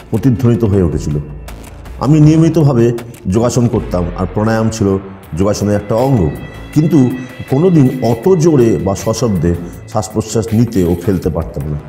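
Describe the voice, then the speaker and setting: A man narrates a horror audio story dramatically. His voice is very dark and mellow, with an echo on it. Soft music plays behind him. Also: mid-range voice.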